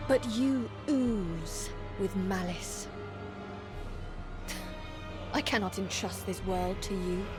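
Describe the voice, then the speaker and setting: Woman playing a slow-spoken, noble warrior valkyrie. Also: medium pitch, close to an everyday voice. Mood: solemn, resolute. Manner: formal, solemn, declamatory. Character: noble warrior valkyrie, resolute, solemn, righteous young woman. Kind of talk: dramatic video game cutscene acting. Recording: music behind the voice.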